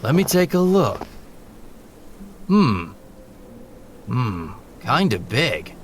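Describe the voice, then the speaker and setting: A man's voice: medium pitch, sing-song, drawn-out words, slight background noise. An actor playing a joking father in his fifties on a fishing trip, with an easygoing, teasing manner. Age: 50s. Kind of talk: audio drama acting.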